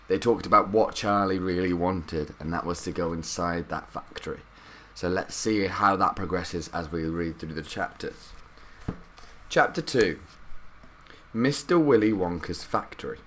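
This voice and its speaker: A man's voice: low voice, moderate pace, bright, forceful tone. A man with a cold reading a children's story aloud expressively, like a teacher. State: has a cold.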